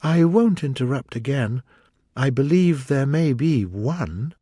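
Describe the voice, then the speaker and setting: A man's voice: on the high side, very animated, moderate pace, darkish tone, breathy edge, no echo, studio quality, pitched above the performer's own voice. A man voicing a polite, curious, easily offended young girl who speaks earnestly in a storybook. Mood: humble. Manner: earnest, questioning.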